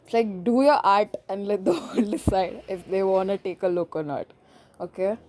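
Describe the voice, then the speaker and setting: Woman, Indian accent, young adult, casual home podcast, chatty, filler-heavy, warm soft tone. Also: mid-range voice, normal speed. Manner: rambling and chatty, full of filler phrases. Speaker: podcast host. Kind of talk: casual solo podcast chat.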